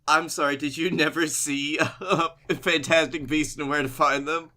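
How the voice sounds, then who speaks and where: A man's voice: a little high, fairly even intonation, moderate pace, sharp tone. A man co-hosting a comedy podcast, bantering casually.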